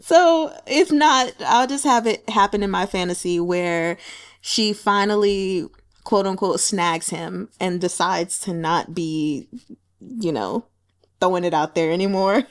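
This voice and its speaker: A woman giving casual, animated book commentary as a channel host, wry and colloquial, often talking as if to the characters. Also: mid-range voice, a little slow.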